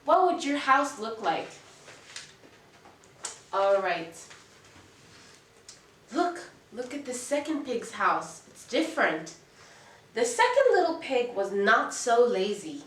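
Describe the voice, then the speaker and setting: A woman telling a children's story in an expressive, deliberate, teacherly way, putting questions to young listeners. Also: on the high side, slight background noise. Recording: echoey room, distant mic.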